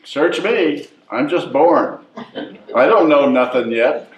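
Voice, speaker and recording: A man's voice: a little high, animated, takes it slowly. A man playing a chatty, know-it-all newborn baby in a readers theatre comedy. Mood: musing, pleased. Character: cocky, chatty newborn baby, sure of being a girl. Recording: echoey, muffled stage recording from far off.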